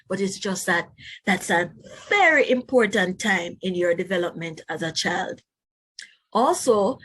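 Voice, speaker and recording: A woman reads to young children as their teacher. Her pace is leisurely and her medium-pitched voice has a husky edge. She stresses key words expressively and speaks directly to her listeners with explanatory asides. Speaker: children's read-aloud teacher. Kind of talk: read-aloud storytelling with explanatory asides to children.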